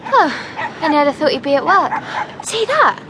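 A woman's voice: high-pitched, sing-song, normal speed. An actress playing a chatty, nosy young woman out running, in a naturalistic radio drama. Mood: curious. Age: young adult. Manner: chatty, inquisitive. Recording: clear outdoor ambience noise behind.

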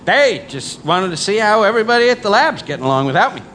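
An actor playing a grief-maddened scientist father in an audio drama, intense and theatrical, with halting repetitions. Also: sits high, big pitch swings, noisy background. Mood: bitter, numb. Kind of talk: live audio drama acting with monologue narration. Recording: live stage recording with music underneath.

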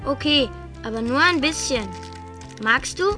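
A woman's voice: sits high, unhurried, over music. A woman playing a matter-of-fact, teasing young brother in a children's audio play. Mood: teasing, casual.

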